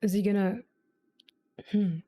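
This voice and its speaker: A woman's voice: mid-range voice, level tone, measured pace, mellow. A woman streaming a game, giving casual, wry, sceptical commentary as she thinks aloud. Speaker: gaming streamer. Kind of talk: casual reaction commentary over gameplay. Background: game music.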